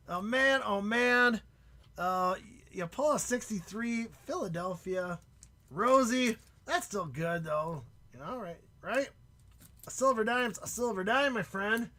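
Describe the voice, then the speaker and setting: A man hosting a live stream in casual chat, excitable and prone to loud shouts and laughter, and losing his voice. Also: very high voice. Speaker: livestream host.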